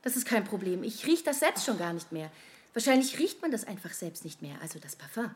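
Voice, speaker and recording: A woman's voice: medium pitch, very animated, fairly quick, lightly acted. An actress playing an anxious, self-conscious woman who rambles and questions herself in a conversational radio play. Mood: self-doubting. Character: anxious, self-conscious woman fretting over how she smells. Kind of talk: modern radio play acting, conversational monologue.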